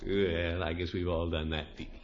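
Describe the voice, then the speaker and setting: An actor playing a pompous but soft-hearted middle-aged uncle who blusters and frets theatrically in a 1950s radio comedy. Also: male voice, deep voice, little pitch movement, moderate pace, close to an everyday voice. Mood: reflective. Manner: theatrical, blustering, with mutters and exclamations. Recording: muffled, noisy vintage 1950s radio recording.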